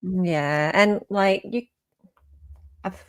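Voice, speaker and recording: A woman's voice: lowish voice, very slow, clear, resonant tone, dry recording. An Australian woman hosting a live stream in casual, opinionated chat, often checking for agreement as she goes.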